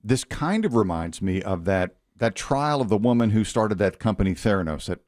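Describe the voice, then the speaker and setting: A man with a fairly low, very lively voice, co-hosting a morning radio show. He chats and laughs easily into a studio mic, and the sound is dry and close. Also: normal speed, no echo. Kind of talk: casual radio banter.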